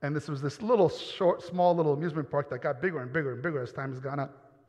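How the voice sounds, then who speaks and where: A man's voice: a little high, fast talker, a little mellow. A man from New York giving a personal testimony sermon in a church, earnest and often repeating words as he goes. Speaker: pastor. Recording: dull sound with long hall reverb.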